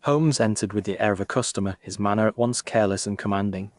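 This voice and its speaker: Low-voiced man narrating, close and dry. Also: dry recording. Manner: measured, literary, formal. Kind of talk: scripted narration of a Victorian detective story.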